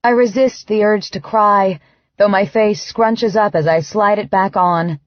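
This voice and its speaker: A woman reading first-person fiction as an audiobook narrator, in an expressive, dramatized way. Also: mid-range voice, unhurried, dry recording, dull audio.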